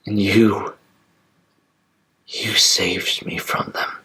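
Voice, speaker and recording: Brief: a man doing a fading little engine, hushed and husky, slow and soft. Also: low voice, exaggerated voice, low-quality recording. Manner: breathy whispering, slow and tender. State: weak, dying. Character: dying small male engine, gentle and loyal. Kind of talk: fan audio drama acting.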